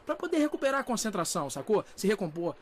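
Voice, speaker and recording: Fast-talking cocky Brazilian superhero. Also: male voice, sits high, sing-song, a little hiss or hum. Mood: flirty, mock-troubled.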